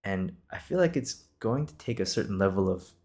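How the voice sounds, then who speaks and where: Low-voiced, gravelly young man chatting. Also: animated, brisk, a little mellow. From Singapore. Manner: reassuring, encouraging, conversational. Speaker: podcast host. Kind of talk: casual improvised monologue.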